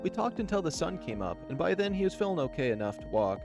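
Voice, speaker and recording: A man voicing a shy, nervous, gentle young animal character in a visual novel, speaking in a hesitant, apologetic, soft-spoken way. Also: on the high side, very animated, fast, creaky, over music, voice pushed up. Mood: fond, wistful.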